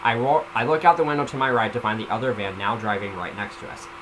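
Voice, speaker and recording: A man's voice: medium pitch, steady tone, quick pace. A man giving a casual, amateur story reading, stumbling at times and slipping in informal comments of his own. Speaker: reader of a self-written story. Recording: noisy home microphone recording.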